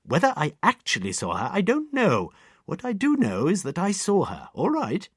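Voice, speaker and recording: A man's voice: high-pitched, huge pitch swings, normal speed, slightly rough, no room sound, clean studio sound, voice pushed up, full character voice. A man voicing an elderly, deaf, retired head nurse who lectures precisely and primly. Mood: tart, lecturing.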